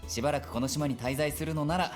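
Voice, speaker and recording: A man voicing a genial, sly young police officer in a visual novel game, polite and gently teasing. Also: on the high side, steady tone, very fast, faint music behind, lightly acted. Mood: friendly, sly.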